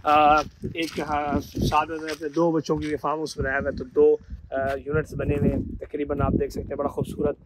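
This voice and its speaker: A man in his thirties with a Pakistani Urdu accent delivers a lively sales walkthrough, pointing out features as he goes. His voice sits slightly high. He is recorded out of doors on a rooftop, with heavy outdoor noise behind him. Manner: upbeat sales pitch, pointing out sights while talking. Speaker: property consultant. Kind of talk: promotional property walkthrough. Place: outdoors on a rooftop at a rural farm estate. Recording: loud outdoor wind and ambient noise.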